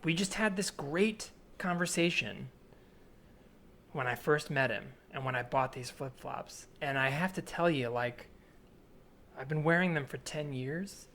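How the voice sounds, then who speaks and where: A man improvising an earnest, obsessive man in his twenties in a naturalistic comedy scene, speaking haltingly with pleading intensity. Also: fairly high pitch, a bit bright. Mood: intense, searching. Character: earnest obsessive 27-year-old man haunted by flip-flops. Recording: remote recording with added ambient soundscape noise.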